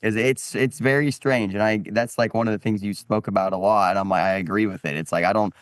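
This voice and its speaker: A man's voice: fairly low pitch, fairly even intonation, fast, no room sound, studio quality. A man in a casual podcast discussion, musing hesitantly and often posing rhetorical questions.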